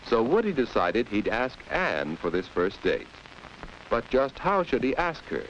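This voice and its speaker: A man's voice: mid-range voice, unhurried, low-quality recording. An American man narrating a 1940s instructional film in a guiding, rhetorical manner. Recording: old film soundtrack with steady hiss.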